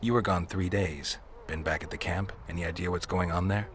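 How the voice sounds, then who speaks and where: A man acting a terse, deadpan monster hunter in a video game, gruff and unflappable. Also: deep voice, fairly quick, slight background noise, lightly acted. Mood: curt, questioning.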